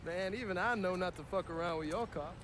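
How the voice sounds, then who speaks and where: A man playing a brash, joking young American crook who teases loudly and swears freely in a game cutscene. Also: high voice, fairly quick, noisy background, natural voice. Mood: teasing. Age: young adult. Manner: teasing, loud, profane.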